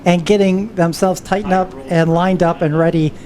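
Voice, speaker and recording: A woman's voice: on the low side, steady tone, normal speed. A woman giving live stock car race commentary as a trackside announcer. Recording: loud vehicle noise behind. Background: race cars and trackside noise.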